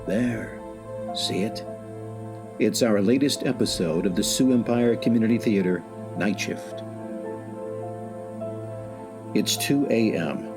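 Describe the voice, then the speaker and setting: A man playing a solemn, eerie announcer narrating a suspense radio play in a measured, theatrical way, with music underneath. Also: on the low side. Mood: ominous.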